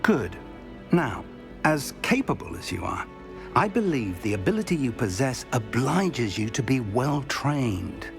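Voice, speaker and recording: An actor playing a courteous older British wizard professor in a video game, speaking in a formal, measured, articulate way. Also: male voice, medium pitch, very animated, creaky, music in the background. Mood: courteous, instructive. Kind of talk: video game cutscene acting.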